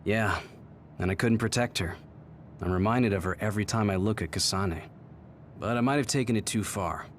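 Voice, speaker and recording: An actor playing a kind, protective, earnest military captain in a video game. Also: male voice, lowish voice, normal speed, slight background noise, lightly acted. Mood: concerned, regretful. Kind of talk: English video game dub acting.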